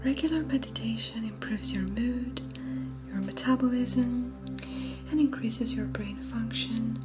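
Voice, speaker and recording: A woman leading an ASMR guided meditation in a soft, breathy near-whisper, close on a binaural microphone. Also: fairly high pitch, measured pace. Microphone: close binaural microphone, panning side to side. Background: music.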